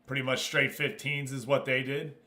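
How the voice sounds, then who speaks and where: Male American livestream host, medium pitch. Casual, chatty game commentary that switches between greeting viewers and explaining the play. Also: level tone, normal speed, bright, forceful tone. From United States. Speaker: live streamer and host.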